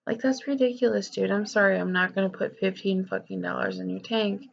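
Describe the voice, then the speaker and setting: A woman's voice: mid-range voice, steady tone, a little fast, vocal fry. Young woman venting on her vlog, congested and nasal, swears a lot, muffled cheap audio. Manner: candid, rambling, swears freely, many fillers. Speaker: vlogger. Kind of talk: personal confessional vlog.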